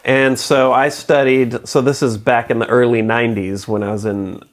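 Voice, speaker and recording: A man's voice: medium pitch, flat delivery, moderate pace. A man in his forties, a guest in a casual interview, talking in a rambling, self-deprecating way and laughing often. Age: 40s.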